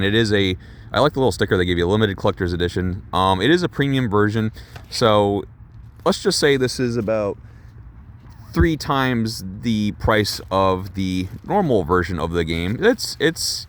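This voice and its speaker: A young man doing a casual unboxing vlog for his chat, excitable and rambling, swearing and laughing at himself while losing his voice. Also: on the low side, normal speed, a little hiss or hum. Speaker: gaming YouTuber doing an unboxing.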